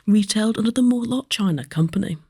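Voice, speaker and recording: Female history narrator, warm dark tone with some rasp, scripted delivery. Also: medium pitch, fast. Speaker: history presenter.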